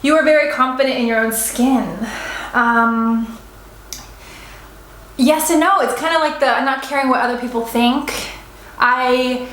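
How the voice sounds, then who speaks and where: A woman's voice: high voice, unhurried, pauses often, fairly bright tone, reverberant, a little hiss or hum, low-quality recording. A young woman in her twenties, vlogging a casual mukbang chat in a restaurant, rambling with many fillers and restarts. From United States. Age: 20s. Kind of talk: casual mukbang chat, answering viewer assumptions.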